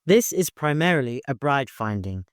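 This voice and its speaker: A man's voice: mid-range voice, very animated, moderate pace, clean tone, no echo, studio quality, natural voice. A man voicing a shrewd, authoritative male expedition leader with a wry streak in an audiobook. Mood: commanding, rousing.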